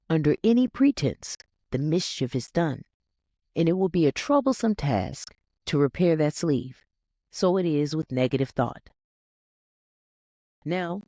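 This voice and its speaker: A narrator reading a self-help text aloud in an instructive, scripted audiobook style, with deliberate emphasis on key words. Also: medium pitch, a little mellow, no room sound, studio quality. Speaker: reader, narrator.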